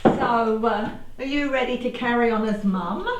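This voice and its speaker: A woman playing an excitable, superstitious middle-aged radio actress in a comedy drama, dramatic and earnest. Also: fairly high pitch, normal speed, noisy background, close to an everyday voice. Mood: chatty. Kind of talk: amateur-style comedy drama dialogue. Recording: echoey room, distant microphone.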